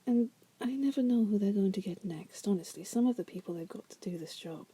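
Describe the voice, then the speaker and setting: A woman voicing a bored, manipulative female demon prisoner in an ASMR roleplay, softly teasing and coaxing the listener in a breathy voice that often drops to a whisper. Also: medium pitch, fairly quick, dry recording, lightly acted. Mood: condescending, bored. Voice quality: soft, breathy.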